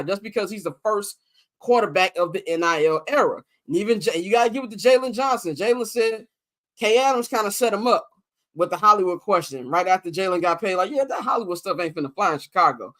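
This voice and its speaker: A man's voice: high-pitched, a little fast. A man co-hosting a podcast, talking sports in a casual, slangy way and acting out what players and coaches said. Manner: animated, acts out what others said; slangy, loose and conversational. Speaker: podcast co-host.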